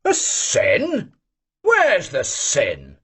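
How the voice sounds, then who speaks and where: A man voicing a boisterous, bragging old male Cossack who teases loudly and argues emphatically, in a husky voice. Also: sits high, wildly swooping pitch, unhurried, pitched above the performer's own voice, full character voice. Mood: preaching. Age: older. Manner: bellowing, teasing, emphatic.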